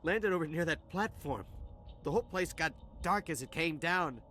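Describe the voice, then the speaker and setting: A man's voice: a little high, animated, moderate pace, sharp tone, slight background noise. An actor playing a shaken male colony survivor who stammers in fear. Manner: stammering, frightened. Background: game music.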